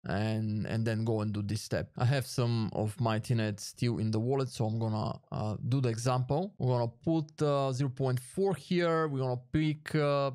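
A man with a mid-pitched voice walks listeners through a casual screen tutorial. He thinks aloud a little hesitantly as he goes, recorded close and dry with no room sound. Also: fairly even intonation, normal speed, hardly any pauses, a little mellow, clear, resonant tone, no room sound. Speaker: tutorial host.

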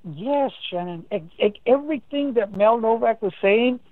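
A male caller with a high-pitched voice speaks candidly and haltingly, often repeating words. He comes through a dry, tinny phone connection. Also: lively intonation, no room sound. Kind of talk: confessional phone conversation.

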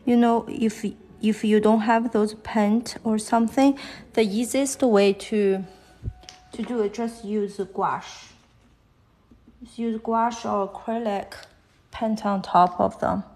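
A woman with a Chinese accent, whose first language is Chinese, talks haltingly while she draws fashion illustrations on a live stream. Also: mid-range voice, fairly even intonation, mellow. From China. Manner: halting, thinking aloud while drawing. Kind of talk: live-stream drawing commentary. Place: at a desk, drawing on paper.

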